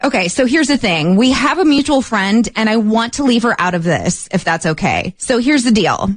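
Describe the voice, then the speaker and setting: A woman's voice: on the high side, big pitch swings, moderate pace. A woman co-hosting a radio show, chatty and direct, asking probing questions in a casual way.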